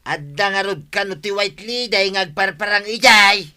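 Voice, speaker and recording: A Filipino man playing a stubborn, grumbling village buddy in a radio comedy, emphatic and quick to interject. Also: high-pitched, pushed and bright. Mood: defensive. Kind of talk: radio comedy drama acting.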